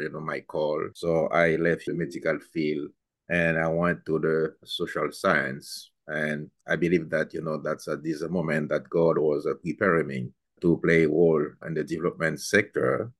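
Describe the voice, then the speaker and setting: A man from Haiti, speaking as a regional vice president on a podcast, pausing often with fillers. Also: extremely low pitch, dry recording, clean studio sound. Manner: halting, many filler pauses. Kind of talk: podcast interview.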